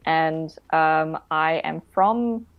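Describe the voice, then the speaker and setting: A woman's voice: deep voice, very slow, clear, resonant tone, phone-quality sound. A woman from Queensland, an actor talking as a podcast guest, hesitant with many fillers. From Queensland, Australia. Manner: hesitant, many ums, laughs while talking. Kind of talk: casual interview.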